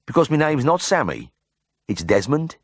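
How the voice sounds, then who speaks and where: Man putting on an anxious young Birmingham lad, pitched up. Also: big pitch swings, normal speed, no room sound, studio quality. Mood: fed up. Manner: blunt, earnest, confiding. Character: naive anxious young working-class lad, a hospital patient. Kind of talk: audiobook character voice.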